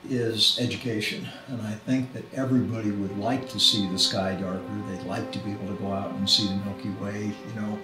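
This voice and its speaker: A man's voice: on the low side, flat delivery, fairly quick, few pauses. A man in his sixties casually answering interview questions indoors in a small room. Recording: echoey room under loud music. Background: loud music.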